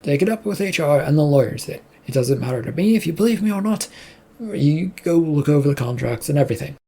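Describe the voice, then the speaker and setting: A narrator voicing a calm, detached male worker who is quitting, in a laid-back, hesitant manner for an audio story. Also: medium pitch, quick pace, breathy edge.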